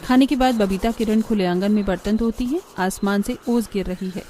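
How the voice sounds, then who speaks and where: A woman's voice: medium pitch, a little fast, warm tone, slight background noise. A woman reading scripted narration for a cartoon family story, as the storyteller.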